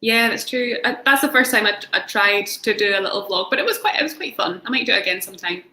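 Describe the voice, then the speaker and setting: Bright-voiced woman in her thirties. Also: mid-range voice, expressive, fast talker, roomy sound, slight background noise. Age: 30s. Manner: chatty, self-mocking, laughs easily. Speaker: guest musician and singer. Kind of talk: casual live-stream interview.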